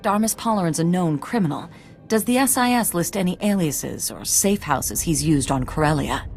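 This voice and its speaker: An actress playing a composed military commander in a video game, formal, measured and authoritative. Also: female voice, lowish voice, lively intonation, gravelly, a little hiss or hum. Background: game music.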